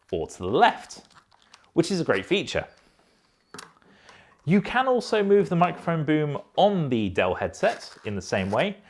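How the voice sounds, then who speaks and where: A man speaks as a product reviewer, explaining things in a measured way. His pitch moves up and down in a lively, animated fashion, and the delivery is that of a prepared review. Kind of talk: scripted-sounding headset review.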